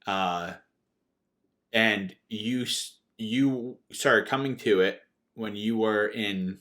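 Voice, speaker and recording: Halting man in his late thirties, chatting. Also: lowish voice, a bit bright. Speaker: podcast co-host. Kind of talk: casual unscripted chat.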